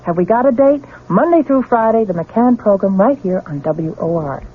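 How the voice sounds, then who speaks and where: A woman radio host reads a scripted program promo. Her pitch is average, and the sound is narrow and tinny, like an old broadcast. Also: expressive, moderate pace, slightly rough.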